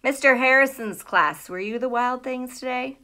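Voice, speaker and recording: A woman's voice: high voice, moderate pace. A middle-aged American woman, a teacher, chatting casually and animatedly with children on a livestream from a room at home. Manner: chatty and animated, greeting viewers by name, asking questions. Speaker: elementary school teacher hosting a live read-aloud. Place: small room at home, indoors. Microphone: phone or tablet at arm's length.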